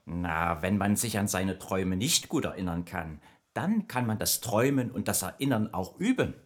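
A man's voice: low voice, expressive, normal speed. A man voicing a friendly, chatty nightmare spirit who patiently explains dreams in a children's radio play. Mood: explaining. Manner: explains patiently, evasive then informative.

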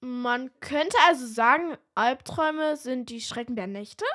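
A woman's voice: sits high, lively intonation, unhurried, fairly bright tone, exaggerated voice. A woman playing an inquisitive show host in a children's radio play, presenting and asking questions. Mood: welcoming.

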